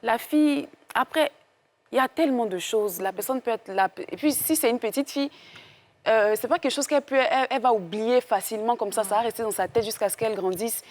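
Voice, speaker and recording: A woman's voice: a little high, fairly quick, a little mellow. A woman speaking as a talk show panelist, explaining her view in casual discussion.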